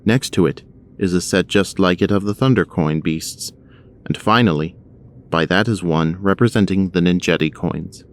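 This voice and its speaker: Deep-voiced male narrator. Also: moderate pace. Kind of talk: scripted audio drama narration.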